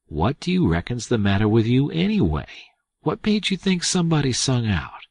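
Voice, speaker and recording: A man voicing a cocky, scheming teenage boy who asks sharp, mock-innocent questions. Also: mid-range voice, wildly swooping pitch, moderate pace, husky, no echo, pitched above the performer's own voice, exaggerated voice. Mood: stern.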